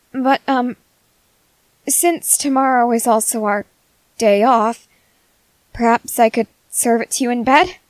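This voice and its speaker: A woman voices a shy, earnest young woman in a listener-directed romance audio. The voice is high, close and dry, with no room sound. She stammers and keeps her phrasing polite and formal. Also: no room sound, natural voice. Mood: shy, hopeful. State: crying with happiness.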